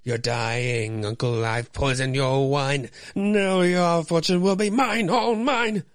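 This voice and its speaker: A man's voice: fairly high pitch, steady tone, takes it slowly, no echo, clean studio sound. A man voicing a greedy, villainous nephew, gloating in a theatrical sing-song for a comic-book radio play.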